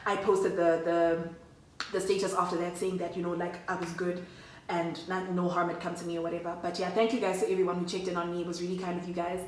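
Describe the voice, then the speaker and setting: A woman's voice: lowish voice, flat delivery, fast, roomy sound, distant mic, slight background noise, low-quality recording. A young woman telling a story in a chatty, slangy way, talking straight to her viewers. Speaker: vlogger. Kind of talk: casual storytime chat to camera.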